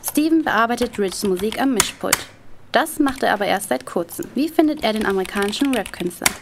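A woman's voice: on the high side, lively intonation, brisk, background noise. A woman working as a radio reporter, reading the scripted narration of a feature report.

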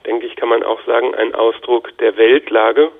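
A male university lecturer explaining things in a measured, academic way, with long sentences. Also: medium pitch, flat delivery, phone-quality sound. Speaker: university lecturer in political science. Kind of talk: explanatory interview talk.

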